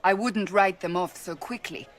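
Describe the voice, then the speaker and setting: An actress playing a firm, direct, dutiful agent in a game cutscene. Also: female voice, medium pitch, normal speed, slight background noise, natural voice.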